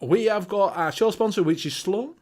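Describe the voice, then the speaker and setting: A man's voice: high-pitched, normal speed, darkish tone. A man with a Northern English accent hosting a casual live-stream chat, swearing freely and reading out viewer names. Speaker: show host.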